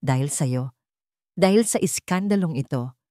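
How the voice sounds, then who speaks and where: A cold, arrogant rich man, as a woman narrator puts him on, sneering and threatening in a lively, low-pitched voice. The sound is close and dry. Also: a little slow, no room sound. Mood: menacing.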